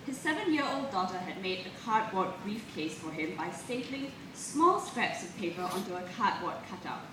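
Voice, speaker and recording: A woman gives an expressive, deliberate live reading of literary prose and poetry. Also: medium pitch. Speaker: reader at a literary festival. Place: live event room, recorded from a distance. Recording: roomy echo, distant mic, clearly noisy.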